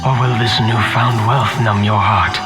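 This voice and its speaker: An actor playing a grave, despairing male scholar in a game, speaking in a formal, measured, grandiloquent way. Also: fairly low pitch, steady tone, close to an everyday voice. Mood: expectant. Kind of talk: dramatic game voice acting. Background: faint background music.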